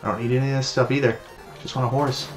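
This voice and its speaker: A man from Newfoundland streaming a retro game, chatting casually and getting frustrated and shouting at it. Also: mid-range voice, little pitch movement, fast talker, faint music behind. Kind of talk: casual live-stream chat.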